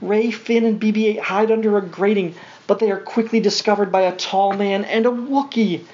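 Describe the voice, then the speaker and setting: A woman's voice: medium pitch, steady tone, normal speed, a little mellow. Woman reading a storybook, slightly muffled recording. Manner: simple child-directed exclamations and questions. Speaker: parent reading aloud to a young child. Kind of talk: read-along storybook narration with spontaneous asides to a child. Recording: dull home recording with faint noise.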